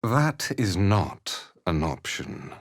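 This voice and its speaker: An actor playing a haughty, ruthless cult commander in a video game, speaking in an imperious, deliberate, disdainful manner. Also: male voice, sits low, very animated, dry recording, clean studio sound, heavily acted. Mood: cold, sly. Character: haughty, ruthless male cult commander, contemptuous slave driver. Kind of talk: video game character acting.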